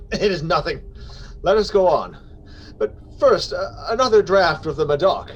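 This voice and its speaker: A jovial scornful drunk aristocrat, theatrical, high for a man, slow and drawn out. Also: lively intonation, muffled recording, a little hiss or hum. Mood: dismissive. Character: boastful, tipsy nobleman, jovial and scornful. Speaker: actor in a dramatic reading. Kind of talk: theatrical dramatic reading of gothic fiction.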